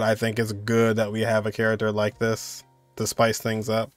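A man's voice: lowish voice, no echo. A young man giving casual, laid-back reaction commentary, thinking aloud in loose conversational phrases. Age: young adult. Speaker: reaction video host.

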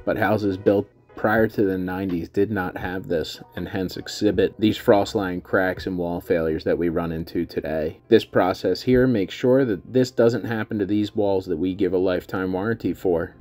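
Guy doing contractor how-to voiceover, dark mellow tone, bit deep, technical and matter-of-fact. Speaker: contractor narrating company's repair work. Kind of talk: scripted instructional narration.

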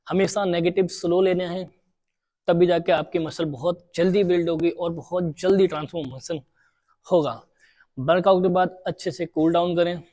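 A young man speaks in a fairly high, warm voice in a large indoor gym, taken from a camera a few steps away. He lays out gym rules one by one and repeats each key point for newcomers. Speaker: gym trainer. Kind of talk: fitness tips to camera.

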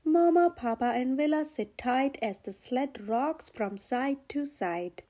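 Lady reading a kids storybook, high soft-toned voice, kinda mellow, takes her time. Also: slow. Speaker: storyteller, channel host. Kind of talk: children's picture book read-aloud.

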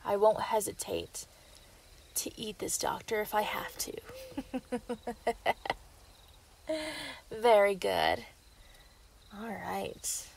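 A woman playing a possessive, mocking yandere werewolf in an ASMR roleplay, taunting and gloating with drawn-out threats. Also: medium pitch, animated, creaky, a little hiss or hum. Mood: smug, threatening. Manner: taunting, drawn-out, laughing delivery with whispered threats. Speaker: roleplay voice actor. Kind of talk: scripted ASMR roleplay acting.

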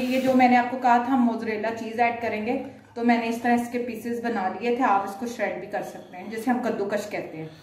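A woman with a Pakistani Urdu accent hosting a cooking video, guiding viewers step by step in a home kitchen. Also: on the high side. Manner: addresses viewers directly, instructive. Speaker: cooking channel host. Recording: echoey room, distant mic, faint noise.

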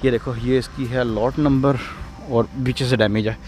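एक पाकिस्तानी उर्दू लहजे वाला आदमी, खुले कार नीलामी यार्ड में हाथ के फ़ोन कैमरे से व्लॉग बनाते हुए, बातूनी और उत्साही अंदाज़ में।. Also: male voice, medium pitch, normal speed, soft background music. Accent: Pakistani Urdu. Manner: chatty and excitable, exclaiming over cars. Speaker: vlogger touring a car auction yard. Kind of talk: casual walk-and-talk vlog. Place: outdoor car auction lot. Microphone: handheld phone camera.

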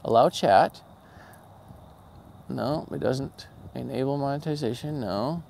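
A man's voice: mid-range voice, takes it slowly, gravelly, a little mellow. A man in his forties hosting a casual livestream from an outdoor parking lot at night, talking upbeat and chatty to viewers through a phone held at arm's length. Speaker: channel host doing a livestream. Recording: faint outdoor background noise.

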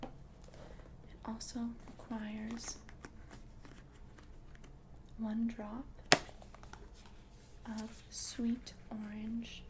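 A woman voicing an ancient, confident witch in a whispered ASMR roleplay, speaking in a soft, breathy, deliberate and soothing way. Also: on the high side, monotone, rough recording. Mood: calm, instructive. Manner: slow, deliberate, soothing, repeats words for emphasis. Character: ancient, confident witch brewing love potions.

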